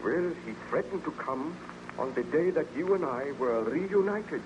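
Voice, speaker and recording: A man's voice: on the high side, expressive. Haunted nobleman in crackly old radio drama. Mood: fearful, agitated. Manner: hesitant, halting, melodramatic. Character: haunted, fearful young nobleman, anxious and evasive. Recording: noisy, muffled old-time radio recording.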